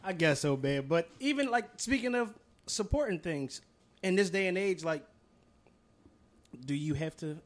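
A man's voice: sits high, expressive, normal speed, pauses often. A man co-hosting a podcast, debating casually and emphatically, often repeating himself to press his point. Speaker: podcast co-host.

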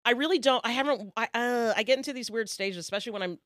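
A woman's voice: on the high side, quick pace, fairly bright tone, no echo, clean studio sound. Rambling, chatty woman comedian. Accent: Oklahoma. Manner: chatty, rambling with many fillers; candid and self-deprecating. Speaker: comedian guest. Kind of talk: casual podcast chat.

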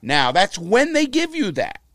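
Profane, animated man in his fifties. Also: high voice, a little slow, no room sound, studio quality. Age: 50s. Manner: blunt, profane, animated storyteller. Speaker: interview guest. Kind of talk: casual interview storytelling.

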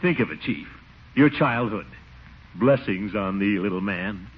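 An actor playing a sly, persuasive small-town commissioner in an old radio comedy, coaxing and grandiloquent. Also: male voice, on the low side, animated, unhurried, a little hiss or hum. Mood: coaxing, wistful. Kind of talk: 1940s radio sitcom acting. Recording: muffled old radio recording.

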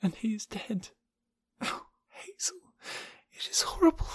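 Audiobook role: heartbroken young woman, read by a man, high-pitched, breathy and plaintive, tenderly dramatic. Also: animated, normal speed, no echo, exaggerated voice. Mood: bleak, sobbing. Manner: plaintive, breathy exclamations, anguished.

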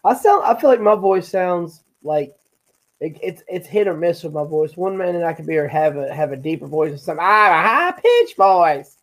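A man's voice: high voice, lively intonation, moderate pace, a bit bright. Joking man co-hosting a podcast. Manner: joking, hypes things up. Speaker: podcast co-host. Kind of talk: casual chat.

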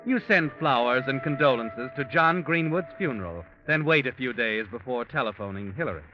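An actor playing a sinister, knowing narrator, insinuating and taunting in dramatic 1940s radio suspense style. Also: male voice, mid-range voice, lively intonation, a little fast, natural voice. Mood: taunting, ominous. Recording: dull vintage radio with loud music.

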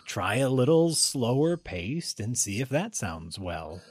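A man co-hosting a casual chat, talking in an animated way that trails off and restarts often. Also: mid-range voice, slow pace, husky, dry recording. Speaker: streamer and co-host.

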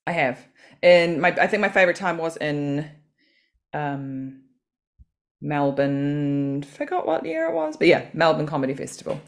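A woman's voice: sits low, animated, a little slow. A woman from New Zealand, a comedian and podcast guest, talking in a chatty, self-deprecating way and laughing often. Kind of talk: casual podcast interview.

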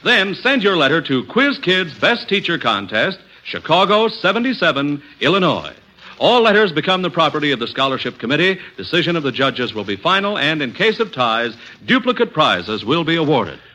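American male announcer for scripted 1940s radio promos, bright and crisp-edged, with animated, swinging pitch. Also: moderate pace, rough recording.

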